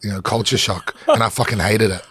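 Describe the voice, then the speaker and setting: A man's voice: fairly low pitch, a little fast, fairly bright tone, husky, dry recording, studio quality. A middle-aged man with a South African accent, a chef guest talking candidly on a podcast and swearing freely. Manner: candid, swears freely, many fillers and restarts. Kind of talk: podcast conversation.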